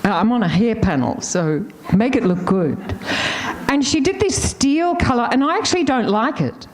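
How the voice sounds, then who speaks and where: A woman's voice: a little high, lively intonation, normal speed, darkish tone. An older woman with an Australian accent, telling candid, laughing stories as a panelist. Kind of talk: casual storytelling on a panel.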